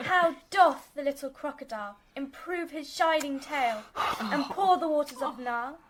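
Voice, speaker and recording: A woman's voice: high-pitched, normal speed, heavy background noise, natural voice. A woman playing a precocious, earnest little girl in a radio drama, with careful, elocution-trained diction. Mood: earnest, prim. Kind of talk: BBC radio drama acting.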